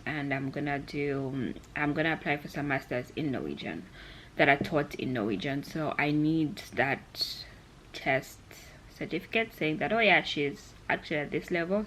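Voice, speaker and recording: A woman's voice: deep voice, pushed and bright, a little hiss or hum, low-quality recording. A young woman from Zambia talking casually to camera like a vlogger, in a chatty, rambling way that often doubles back on itself. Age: young adult. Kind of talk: casual vlog chat to camera.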